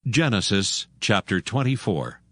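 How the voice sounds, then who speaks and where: Male narrator for dramatized scripture: fairly deep, measured, formal, recorded close and dry. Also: very animated, no echo.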